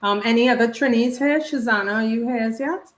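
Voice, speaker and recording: A woman's voice: a little high, brisk. A woman leading a workshop over a video call, speaking casually as she facilitates the group. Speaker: workshop leader. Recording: roomy echoing call audio.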